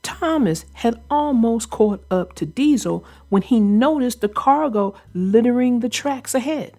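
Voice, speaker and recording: A woman narrating a children's book in an expressive read-aloud storytelling style. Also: on the high side, a little slow.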